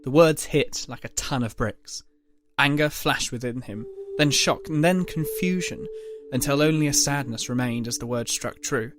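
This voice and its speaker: A man's voice: mid-range voice, normal speed, music in the background. A man narrating a scripted dramatic story as the narrator of an audio drama.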